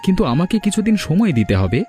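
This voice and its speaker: A devoted, shy young cartoon grandson acted by a man. His delivery is extremely lively and up-and-down, over soft background music. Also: high voice, quick pace. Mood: bashful. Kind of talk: cartoon voice acting.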